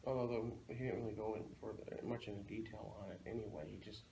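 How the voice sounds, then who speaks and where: A man's voice: lowish voice, level tone, fast talker, vocal fry, mellow. A man chatting casually as a fellow comic book fan, recorded in a noisy, echoey room. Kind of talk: casual chat.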